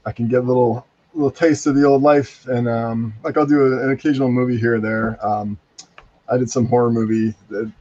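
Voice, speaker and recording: A man's voice: medium pitch, fairly even intonation, fairly quick, a little mellow. A middle-aged man, a guest who acts and writes plays, chatting and rambling with many restarts. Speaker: guest, voice actor and playwright. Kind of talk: casual chat. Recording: dull, dry close mic.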